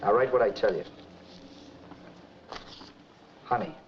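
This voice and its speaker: An actor playing a cold, bossy killer and escaped convict in a 1940s American film noir, talking in blunt, slangy commands. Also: male voice, on the low side, racing, lightly acted. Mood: commanding, deliberate. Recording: dull 1940s film soundtrack, faint music.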